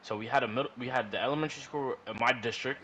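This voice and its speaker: A man rambles through a casual, profane story, slangy and animated, often repeating himself. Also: mid-range voice, racing. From New York. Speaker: podcast storyteller. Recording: noisy room recording.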